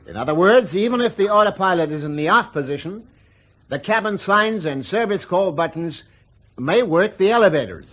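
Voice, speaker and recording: High-voiced, reassuring company spokesman. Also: animated, fairly bright tone. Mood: reassuring, placating. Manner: measured, formal explaining with hedged emphasis. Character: smooth, reassuring male aircraft company spokesman, defensive. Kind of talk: radio drama acting.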